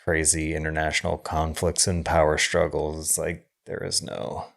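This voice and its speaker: Low-voiced, reflective man co-hosting a podcast. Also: little pitch movement, gravelly, a bit bright. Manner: wordy, halting, reflective. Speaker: podcast co-host. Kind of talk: casual film discussion.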